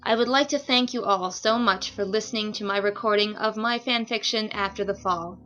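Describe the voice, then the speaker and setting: A woman's voice: mid-range voice, moderate pace, bright, forceful tone. A woman speaking as author and reader, giving a polite, orderly scripted outro to listeners. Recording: dull lo-fi home recording with music behind. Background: music.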